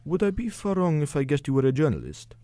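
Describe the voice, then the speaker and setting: A man acts as an older, courteous exiled scholar in a radio play. The voice is mellow and very expressive, swooping in pitch through formal, careful, hesitant phrases. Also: quick pace, no echo. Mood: courteous, bitter. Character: courteous, nervous, exiled Romanian male intellectual, older. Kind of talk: radio drama dialogue.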